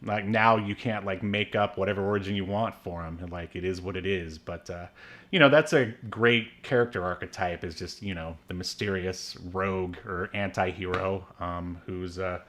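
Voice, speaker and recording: A man's voice: low-pitched, steady tone, normal speed, a bit bright. A man co-hosting a podcast, rambling along with lots of ums and likes and laughing often. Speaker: podcast co-host. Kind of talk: casual chat.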